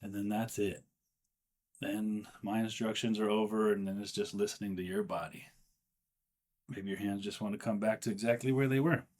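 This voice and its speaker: A man with a fairly low voice guides a meditation. His delivery is gentle and unhurried. He often pauses partway through a sentence before carrying on. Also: fairly even intonation.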